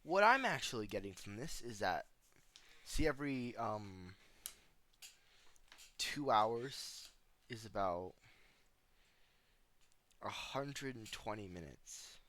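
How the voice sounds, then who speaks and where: A man's voice: fairly low pitch, expressive, slow, long pauses, fairly bright tone. A man giving casual, joking video commentary in a sarcastic, flippant, slangy way, full of offhand asides. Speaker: video host reacting to analog horror.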